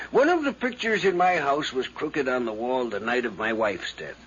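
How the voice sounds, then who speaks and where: An actor playing a garrulous, morbid older gentleman who rambles and hems, in a dull, noisy 1950s radio drama recording. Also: medium pitch, animated, slow pace. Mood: earnest, rambling. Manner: rambling, blustery, hemming.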